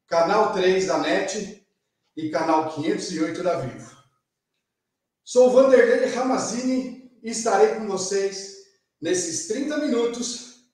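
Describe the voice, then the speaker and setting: Brazilian guy hosting a talk show, slow formal delivery, super echoey room. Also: sits high, recorded from a distance. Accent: Brazilian Portuguese. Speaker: show host. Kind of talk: studio talk show presenting.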